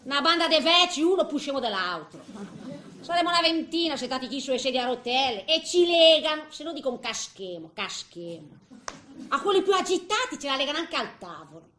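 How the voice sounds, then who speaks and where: A feisty old woman with a Tuscan accent grumbles through a comic theatre monologue. Her voice is bright and sharp, swooping up and down, with muttered asides and exclamations. It rings in a roomy, echoing space. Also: high-pitched, big pitch swings, fairly quick, a little hiss or hum, exaggerated voice. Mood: mocking, grumbling. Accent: Tuscan Italian. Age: older. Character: feisty, grumbling eighty-year-old woman in a nursing home.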